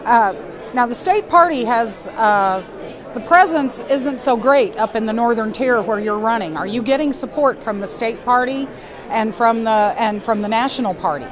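A woman interviewer asks brisk, friendly questions in a slightly high voice. She is on the floor of a large indoor convention hall. Loud crowd chatter fills the space around her. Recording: busy crowd noise behind.

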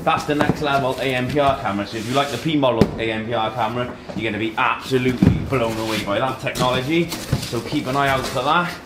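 A man in his thirties hosts a casual product unboxing with a mid-pitched voice. He talks in short phrases while handling things. The room is echoey, with clear noise and clicks behind him. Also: fast talker, few pauses. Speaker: host of a tech unboxing video. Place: indoor office meeting room.

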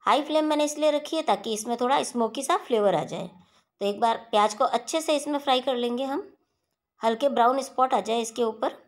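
A woman hosting a home cooking channel, talking viewers through a recipe step by step in a steady instructional voice-over. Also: on the high side, brisk.

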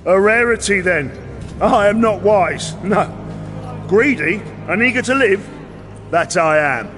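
World-weary sellsword captain: high-pitched for a man, blunt, commanding, fantasy audio drama with score. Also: animated, a little slow, over music. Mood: wary, resigned. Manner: plain-spoken, gruffly commanding.

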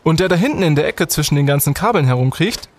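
A man's voice: on the high side, lively intonation, quick pace, a little hiss or hum, natural voice. A young man playing a brainy, self-important student detective in an audio drama, speaking emphatically. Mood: proud, welcoming. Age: young adult. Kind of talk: German audio drama in youth detective style.

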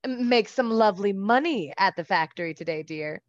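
A woman's voice: medium pitch, lively intonation, normal speed, dry recording, close to an everyday voice. Dry, skeptical mother, acted by a woman, dull recording. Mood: teasing, dismissive. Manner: dry, sarcastic. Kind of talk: radio play acting. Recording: slightly dull, lo-fi sound.

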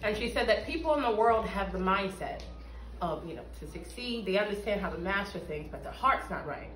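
A woman's voice: medium pitch, animated, a little fast, fairly bright tone, slight background noise. A woman giving a spoken church testimony in an interview, earnest and emphatic, picked up a few feet from the camera in a small room. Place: small indoor room with bare walls, seated. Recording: echoey room, mic at a distance.

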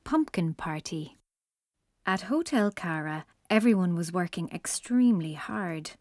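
Lower-pitched woman narrating a story. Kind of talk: scripted children's story narration.

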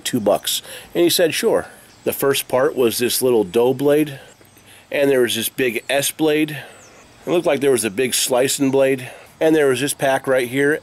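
An American man in his forties talking casually to camera in a conversational, matter-of-fact way, like a vlogger. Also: mid-range voice. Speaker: reseller vlogger showing garage sale finds. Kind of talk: casual vlog talk to camera. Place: open garage doorway, outdoors. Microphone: camera at arm's length.

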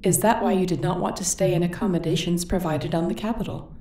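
Woman doing a spaceship ai lady, dark mellow tone, far off and echoey, polite matter-of-fact. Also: lowish voice, quick pace, close to an everyday voice. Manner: formal, even, matter-of-fact. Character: polite, literal-minded female ship AI. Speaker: voice actor. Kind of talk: audio roleplay acting. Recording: echoey, distant, faint noise.

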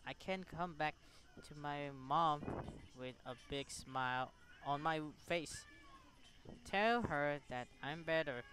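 Bright, slow-paced man doing gaming commentary. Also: a little high, low-quality recording. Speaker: gaming video host. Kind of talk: let's play commentary, reading game text aloud.

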